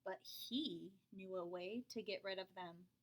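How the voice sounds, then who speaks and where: A woman reading a children's picture book aloud, expressive, with dramatic whispers and sudden jumps in pitch. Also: measured pace, low-quality recording.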